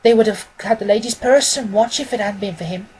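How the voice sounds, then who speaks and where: A man's voice: high voice, a little fast, a little hiss or hum, pitched above the performer's own voice, close to an everyday voice, low-quality recording. A man reading an audiobook, voicing a concerned, earnest woman bystander in a street crowd. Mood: anxious sympathy.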